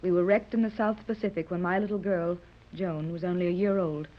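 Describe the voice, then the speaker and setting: An actress playing a kindly, wealthy mother in a 1930s radio serial, gracious and gentle with poised, refined diction. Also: on the low side, steady tone, a little fast, close to an everyday voice. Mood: hopeful, sincere. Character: wealthy, kindly, hopeful mother seeking her lost daughter. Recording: muffled vintage 1930s radio recording.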